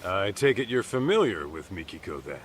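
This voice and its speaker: An actor playing an easygoing, plain-spoken middle-aged private detective in an English video game dub. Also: male voice, low voice, big pitch swings, normal speed, a little hiss or hum. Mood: probing.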